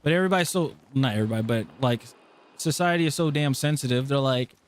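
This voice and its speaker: A man's voice: medium pitch, quick pace. A man giving casual, slangy live gameplay commentary with a playful manner, as a game streamer. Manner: slangy, playful, breaks into song.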